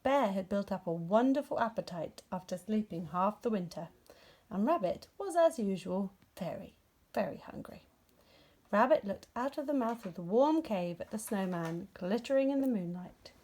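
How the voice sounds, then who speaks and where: Woman doing storytime narration for little kids, expressive, mid pitched voice. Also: normal speed. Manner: expressive, acts out dialogue. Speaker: storybook reader. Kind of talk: scripted children's story narration.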